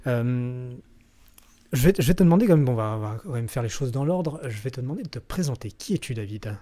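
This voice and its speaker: A man hosts a podcast and puts questions to his guest in a relaxed way. His voice is mellow and dark. He stops and restarts often, filling the gaps with hesitations. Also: mid-range voice. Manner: halting, many fillers and restarts. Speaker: podcast host. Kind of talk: casual interview questions.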